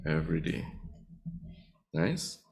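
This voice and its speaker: A man's voice: very deep voice, lively intonation, takes it slowly, creaky, darkish tone. A man teaching a live online Spanish class, chatty and teasing with his students.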